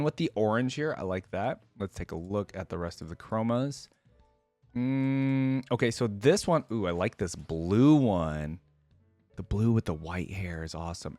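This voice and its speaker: A man's voice: medium pitch, darkish tone, no room sound. A man giving casual, enthusiastic reaction commentary as a video host, thinking aloud in an informal way.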